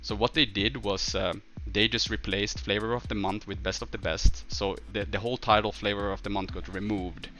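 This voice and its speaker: Male game streamer, Swedish accent, lowish but bright, forceful tone; off-the-cuff, halting delivery with music underneath. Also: fairly even intonation. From northern Sweden. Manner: halting, thinking aloud. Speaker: game livestreamer. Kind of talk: casual livestream chat, answering viewers' questions.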